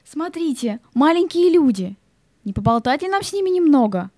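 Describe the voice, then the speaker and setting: A woman's voice: sits high, big pitch swings, moderate pace, a little mellow, dry recording, clean studio sound. A woman playing a chatty, teasing tree of the forest in a children's audio play. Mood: gossipy, teasing.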